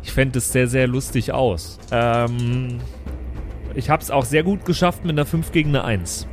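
A man's voice: medium pitch, fairly even intonation, unhurried, faint music behind. A man chatting casually as a player in a tabletop role-playing game, describing his moves.

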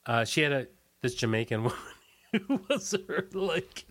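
A man's voice: medium pitch, very animated, a bit bright. A man reminiscing casually as an interview guest, telling stories loosely and often trailing off. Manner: halting, trailing off, loose storytelling.

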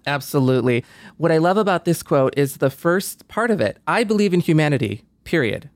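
A man with a fairly high voice hosts a reflective podcast conversation. He sounds earnest and optimistic, thinking aloud with frequent you-knows. The sound is close and dry. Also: expressive, brisk, no room sound. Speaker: podcast host.

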